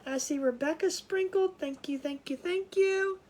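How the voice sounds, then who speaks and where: A woman's voice: very high-pitched, moderate pace, darkish tone. A woman hosting a casual craft livestream from home, sounding nasal and congested, chatty and scattered, with frequent laughs and restarts.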